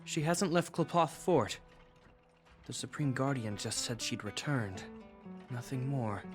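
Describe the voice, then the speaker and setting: Guy acting a polite earnest army officer for a game, medium-pitched man, formal, music playing behind. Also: lively intonation, unhurried, natural voice. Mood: reluctant, serious. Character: dutiful, earnest younger brother, military officer.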